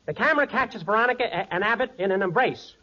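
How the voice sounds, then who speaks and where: A man's voice: high-pitched, animated, sharp tone, exaggerated voice. A man playing a fussy film director who slips earnest gibberish into his sentences, in 1940s radio comedy. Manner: rapid double-talk, nonsense slipped into sentences. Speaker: comic supporting actor. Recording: muffled 1940s radio recording, studio audience.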